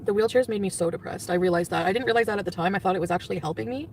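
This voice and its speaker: A woman's voice: mid-range voice, very fast, darkish tone. Rambling woman vlogging from far off. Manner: rambling, lots of fillers, laughs often. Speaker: vlogger in the clip being reacted to. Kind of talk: casual vlog and live-stream chat. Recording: distant, noisy clip audio.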